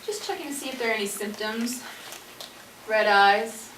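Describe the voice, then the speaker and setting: Through a noisy, distant stage recording comes an actress playing a no-nonsense woman surviving a zombie outbreak. Her voice is fairly high and lively, with a bossy, matter-of-fact delivery. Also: normal speed, reverberant, close to an everyday voice. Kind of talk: amateur stage play acting.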